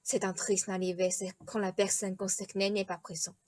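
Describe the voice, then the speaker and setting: A woman's voice: mid-range voice, level tone, normal speed, a little mellow, dry recording, clean studio sound, lightly acted. A woman playing an encouraging, persuasive royal father in a radio play, coaxing a child. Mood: reassuring.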